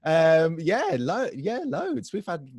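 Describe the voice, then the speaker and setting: A man's voice: high voice, wildly swooping pitch, takes it slowly, a little mellow, slightly rough, dry recording. A man co-hosting a podcast, chatting casually, joking and rambling as he checks things. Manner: rambling, jokey, trails off while checking stats. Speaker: podcast co-host.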